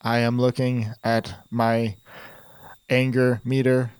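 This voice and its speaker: A man playing a resentful, pompous robot that speaks in a flat monotone with stiff, formal phrasing. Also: mid-range voice, takes it slowly, clean tone, no echo, lightly acted. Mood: subdued anger. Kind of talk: improvised comedy sketch.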